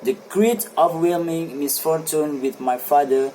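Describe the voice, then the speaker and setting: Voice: medium pitch, a little slow, dark tone, slight background noise, lightly acted, low-quality recording. An amateur reader playing a talkative, self-satisfied married man in a stage play, with eager, formal phrasing. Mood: earnest, explaining. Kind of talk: amateur dramatic play reading.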